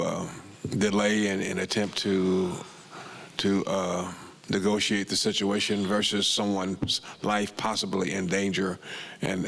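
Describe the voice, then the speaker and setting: A man on a review board giving a deliberate comment at a public meeting. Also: low voice, creaky, a bit bright, husky.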